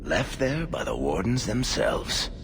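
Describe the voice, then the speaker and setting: An actor playing a sly, wry storyteller in a fantasy game, with a gravelly voice and theatrical delivery. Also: male voice, very animated, takes it slowly. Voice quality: gravelly, very deep. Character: sly, wry male storyteller under questioning. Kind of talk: fantasy game cutscene acting. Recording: noisy, rumbling background.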